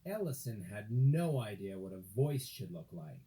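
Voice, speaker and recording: A woman reading a children's story aloud expressively, with dramatic, drawn-out phrasing. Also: rumbling low, very animated, dark tone.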